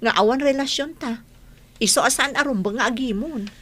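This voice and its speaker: A woman's voice: sits high, a little fast, fairly bright tone. A woman playing a beleaguered married mother who sells food in a village, in Filipino-accented radio drama. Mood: modest, hesitant.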